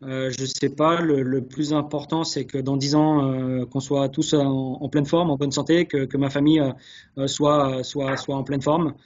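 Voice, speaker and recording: A man's voice: medium pitch, flat delivery, moderate pace, a little mellow. A man answering interview questions earnestly, hesitating and repeating words as he searches, on dull webinar audio. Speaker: guest, chef sommelier. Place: online video call.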